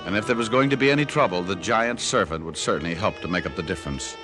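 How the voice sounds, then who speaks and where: An actor playing a wry, cool private detective, narrating and talking in a hardboiled old radio drama. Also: male voice, deep voice, animated, fast talker, music in the background. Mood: cool narration. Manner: wry, understated.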